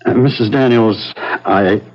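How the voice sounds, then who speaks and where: An actor playing a deferential, uneasy family lawyer in a 1970s radio drama, formal and hesitant. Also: male voice, on the low side, takes it slowly. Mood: worried, guilty. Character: anxious, guilt-ridden, deferential family lawyer. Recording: dull old radio broadcast.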